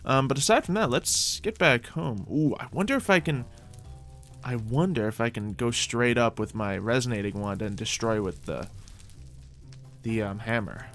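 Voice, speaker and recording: A man's voice: medium pitch, normal speed, fairly bright tone. Man musing through gameplay over music. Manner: thinks aloud, musing. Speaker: host of a gaming video. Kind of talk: casual gameplay commentary.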